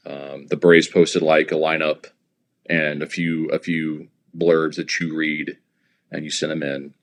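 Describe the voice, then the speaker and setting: An American man in his thirties, a stadium announcer, talking casually as an interview guest and explaining things in a halting, conversational way. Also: very deep voice, little pitch movement, fairly bright tone. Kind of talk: casual interview talk, anecdotal.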